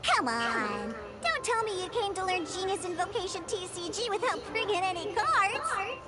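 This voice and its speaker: A woman's voice: extremely high pitch, very animated, normal speed. A woman voicing a grumpy young catgirl bartender in an anime game, huffy and exasperated, with music behind her. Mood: impatient, explaining.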